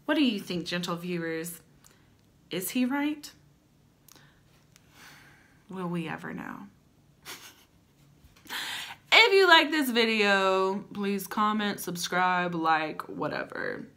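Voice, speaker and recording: A young woman hosting a vlog, giving dramatic comedic readings with wry asides in a theatrical, sardonic manner and emphatic pauses. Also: mid-range voice, expressive, bright, forceful tone. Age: young adult. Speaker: vlog host. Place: small plain-walled room at home.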